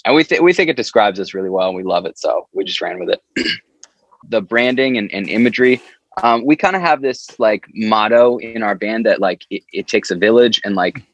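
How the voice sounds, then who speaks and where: A man's voice: on the low side, expressive, a little fast, no echo, low-quality recording. A man being interviewed as a band member, talking casually in an informal, loose storytelling way. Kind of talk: casual chat.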